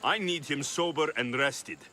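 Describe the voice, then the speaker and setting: A man's voice: on the high side, measured pace, sharp tone, a little hiss or hum. A man playing a determined ancient Greek mercenary in a video game cutscene, blunt and no-nonsense. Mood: firm, challenging. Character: gruff, determined ancient Greek mercenary hero, forthright and protective.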